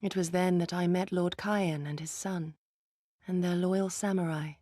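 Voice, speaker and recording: A woman playing an earnest, dutiful ninja resistance fighter in a video game, speaking in a solemn, measured and formal way. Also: lowish voice, steady tone, dark tone, no room sound, clean studio sound. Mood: solemn, wistful. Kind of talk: dramatic video game acting.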